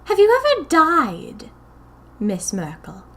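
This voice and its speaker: A woman's voice: high-pitched, huge pitch swings, fairly bright tone, pitched above the performer's own voice, exaggerated voice. Woman voicing a wavering, thin-voiced eerie little lady. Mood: eerily inquiring. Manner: slow, drawn-out, formal questioning. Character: small eerie woman, chubby smiling cult leader. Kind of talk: audio fiction character voice.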